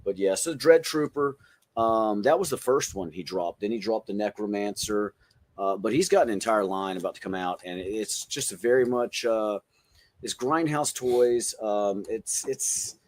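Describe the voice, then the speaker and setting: A middle-aged man with a fairly low voice hosts a casual podcast. He talks informally and jokes around, loose with his language like he is among friends. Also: a little slow, a little mellow. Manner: jokey, informal, swearing. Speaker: podcast host. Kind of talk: casual chat about toys.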